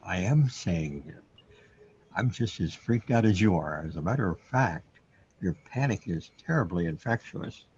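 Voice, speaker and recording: A low, dark voice by a male actor plays a dry companion in a radio drama. The delivery is deadpan, and the recording is a little dull. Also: unhurried, breathy edge, heavily acted. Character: dry companion insisting on shared dread. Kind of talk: radio play acting.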